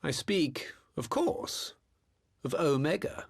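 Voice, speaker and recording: A man's voice: fairly high pitch, big pitch swings, slow, vocal fry, full character voice. A man playing a smug, theatrical former imperial officer and master engineer in a video game, mocking with grandiose courtesy. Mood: smooth, theatrical. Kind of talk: video game cutscene acting.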